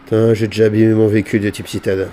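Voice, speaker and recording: A man's voice: on the low side, little pitch movement, very fast, warm tone, slight background noise. A man in casual, mocking banter with slang and swearing, trolling in an online roleplay game. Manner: mocking, cheeky.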